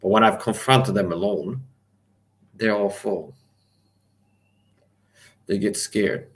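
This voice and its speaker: A man with a very low, deep voice holds forth in an angry, defiant livestream rant. He swears freely, repeats himself and challenges his listeners directly. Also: slow pace. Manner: angry, defiant, profane, repetitive. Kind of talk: ranting live monologue.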